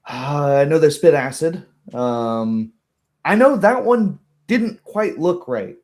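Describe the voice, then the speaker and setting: A man voicing a small, blunt gnome woman in a tabletop game, rambling informally and haltingly as she explains things. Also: a little high, animated, darkish tone, pitched above the performer's own voice. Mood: uneasy, blunt. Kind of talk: tabletop roleplay dialogue.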